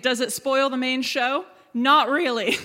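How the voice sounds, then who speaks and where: A woman presents a live audio drama with theatrical, scripted narration. Her voice is bright and forceful, carrying through a large echoing hall. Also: high-pitched, steady tone, unhurried. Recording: echoey hall, live stage.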